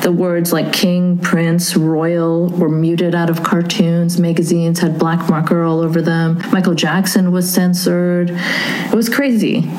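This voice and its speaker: A woman's voice: fairly low pitch, level tone, measured pace, a little mellow, a little hiss or hum, low-quality recording. A woman from Saudi Arabia and Pakistan interviewing a guest, earnest and frank, with many listening murmurs. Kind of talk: interview questions.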